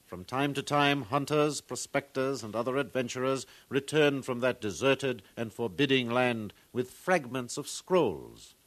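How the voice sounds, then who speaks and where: An actor playing a learned, proud museum curator with formal, professorial speech in a 1950s radio drama. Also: male voice, mid-range voice, unhurried, few pauses, fairly bright tone, lightly acted. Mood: scholarly, pleased.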